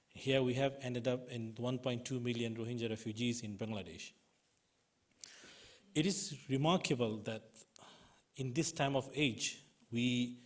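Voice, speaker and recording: A man with a Burmese accent gives a prepared public speech as a human rights activist, in halting, non-native English. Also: mid-range voice. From Burma (Myanmar). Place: conference auditorium.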